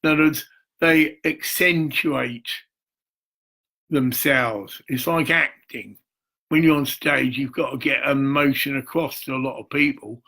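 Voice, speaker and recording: A man's voice: medium pitch, unhurried, vocal fry, bright, forceful tone. An older man, rambling through a theatrical, emphatic monologue in a self-filmed video. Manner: rambling, mystical, addresses viewers directly. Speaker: charity founder.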